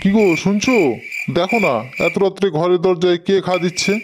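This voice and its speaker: A man's voice: sits high, normal speed, noisy background, lightly acted. A man playing an older village man in a cartoon story, speaking in an emphatic, theatrical way. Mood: irritated, questioning. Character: older village man; greedy, stingy landlord.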